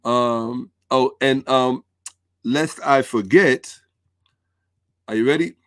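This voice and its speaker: A man's voice: medium pitch, slow pace, fairly bright tone, no echo. A man hosting a livestream commentary, talking to viewers with emphatic, repeated phrases.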